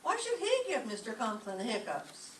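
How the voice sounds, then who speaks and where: Wry, wisecracking female teacher played by a woman for radio comedy; very animated, slightly high, far-off mic. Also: normal speed. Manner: dry wisecracking delivery. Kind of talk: old-time radio comedy acting. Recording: echoey stage, distant mic, faint noise.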